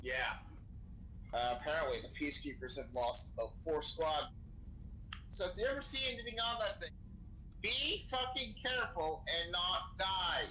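A man with a slightly high, bright voice talks in character during an online role-play game. He explains things casually and swears freely. There is faint noise on his voice-chat mic. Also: animated, normal speed. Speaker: role-play gamer playing a crew member. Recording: noisy gaming voice chat.

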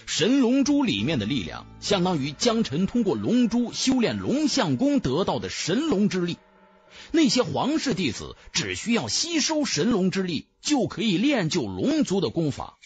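A man's voice: sits high, huge pitch swings, moderate pace, husky, soft background music. A man narrating a fantasy audiobook in a dramatic, scripted storytelling style.

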